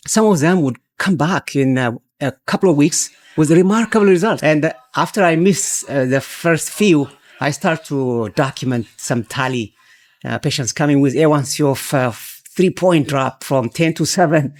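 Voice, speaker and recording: A man from Ethiopia, a physician guest on a podcast taped at a conference, speaking with many uh fillers and throat clearing. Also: a little high, normal speed. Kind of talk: podcast interview. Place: conference venue.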